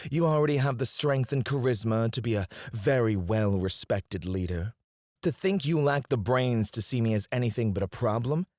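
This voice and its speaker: A man's voice: lowish voice, a little mellow, no echo, clean studio sound. A man playing a cocky, disdainful royal alchemist in a scripted fantasy audio roleplay, speaking in a formal, haughty, theatrical way. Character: cocky, disdainful male royal alchemist, sharp-witted and proud. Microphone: close, dry studio mic.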